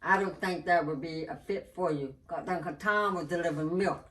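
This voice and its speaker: A woman's voice: fairly low pitch, brisk, fairly bright tone. A woman telling family stories in a blunt, teasing way during a casual chat. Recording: distant mic with faint noise.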